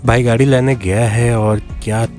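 A man's voice: medium pitch, moderate pace, faint music behind. A man in his forties chatting casually as a travel vlogger, filming himself on railway platforms with a handheld camera. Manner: chatty, relaxed, with many filler sounds. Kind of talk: casual travel vlog updates. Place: outdoors on railway platforms and a garden. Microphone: handheld camera selfie framing.

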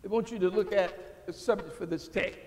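An older man preaching a sermon from a church pulpit into a podium microphone, building from quiet talk into fervent, rising calls to the congregation. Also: sits high, fairly quick. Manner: preaching with rising call-and-response intensity. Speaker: pastor. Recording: church hall reverb.

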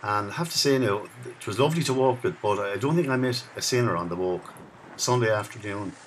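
A man's voice: fairly low pitch, fairly quick, a little hiss or hum, low-quality recording. A man, walking and chatting about the local sights as he films outdoors. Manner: chatty, reminiscing as he walks. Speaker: video blogger. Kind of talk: casual walking commentary. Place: outdoor riverside path in a town.

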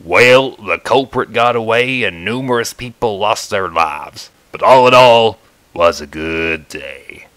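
Man acting a slow, deadpan cartoon man. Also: lowish voice, no echo. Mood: matter-of-fact. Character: deadpan, calmly shady adult male character. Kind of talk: anime parody dub.

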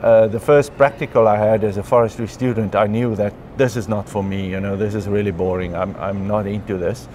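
A man in his fifties with a South African accent, telling his story in a relaxed conversational interview, recorded on a lapel mic in a large glass atrium. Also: fairly low pitch, brisk, few pauses, background noise. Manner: chatty, frequent ums and clears throat. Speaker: interviewee, mycologist.

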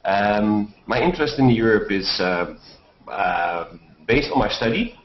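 A man's voice: lowish voice, lively intonation, measured pace, dull audio, a little hiss or hum. Older man, echoey call audio. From Netherlands. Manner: rambling, hesitant. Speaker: panelist. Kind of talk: self-introduction on video call. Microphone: headset on video call.

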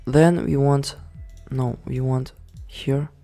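A man giving casual commentary over a management game, excitable, swearing and yelling orders at his units. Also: medium pitch, slow, faint music behind. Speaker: gaming channel host.

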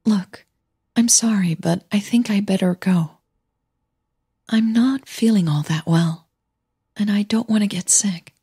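A woman voicing an uneasy, polite young woman singer in an audio drama, with soft, breathy delivery. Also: medium pitch, a little slow, pauses often, voice pushed up. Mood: uneasy, apologetic.

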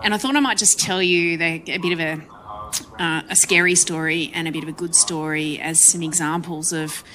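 An Australian woman speaking on a public panel, chatty and relaxed, with many ums. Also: low-pitched, normal speed. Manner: chatty, frequent ums, laughs easily. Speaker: panel guest, council presiding member. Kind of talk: panel discussion talk.

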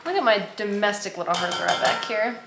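A woman's voice: medium pitch, lively intonation, fairly quick. A woman in her thirties chatting casually to a camera at arm's length, with a playful, gushing way of talking. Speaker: vlogger. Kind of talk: casual vlog chat. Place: home kitchen and dining room, indoors. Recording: roomy echo, light background noise.

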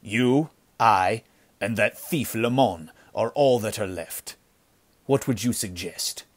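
A man's voice: lowish voice, takes it slowly. A man voicing a ruthless, commanding bandit chief who snarls impatiently in an adventure story. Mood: grim, scheming. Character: ruthless, cursing bandit chief nicknamed the wolf. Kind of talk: dramatic storybook villain acting.

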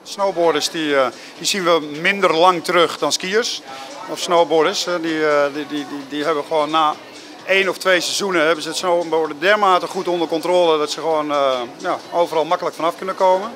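A man managing a ski school, giving a promo interview in a halting way with many fillers. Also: fairly high pitch, steady tone, a bit bright.

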